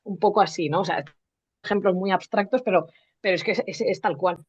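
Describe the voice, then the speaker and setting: A Spanish teacher, a woman from Spain with a Spanish accent, talking in a lively, rambling way with many restarts. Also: medium pitch, brisk, breathy edge. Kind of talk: live online teaching discussion. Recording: muffled lo-fi call audio.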